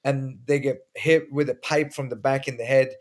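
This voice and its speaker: Earnest man from Sweden, mid-pitched. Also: steady tone, normal speed. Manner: earnest, explains with stories, frequent restarts. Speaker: guest, martial arts instructor. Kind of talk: casual interview talk.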